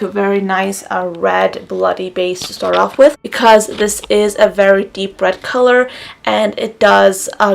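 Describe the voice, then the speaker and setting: A woman's voice: mid-range voice, creaky. A young woman vlogger chatting casually through a makeup tutorial, rambling along with plenty of fillers.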